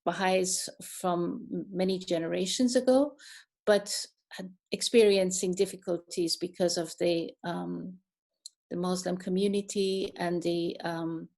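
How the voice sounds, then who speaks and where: Slow, thoughtful woman giving an online talk. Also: lowish voice, creaky, a little mellow. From Iran. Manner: slow, thoughtful, with many fillers and restarts. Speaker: guest speaker, neuroscientist.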